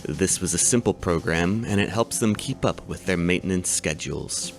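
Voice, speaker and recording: A deep-voiced robotics professor. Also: male voice, faint music behind. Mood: lecturing. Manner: measured, explanatory lecturing. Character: calm adult male robotics professor. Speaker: doctor teaching a robotics class. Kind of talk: audiobook character reading.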